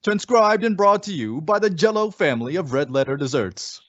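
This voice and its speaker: A man's voice: sits high, expressive, normal speed, no room sound, faint music behind. A man announcing an old-time radio show with scripted, emphatic, showy presentation. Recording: dull, dry lo-fi radio recording.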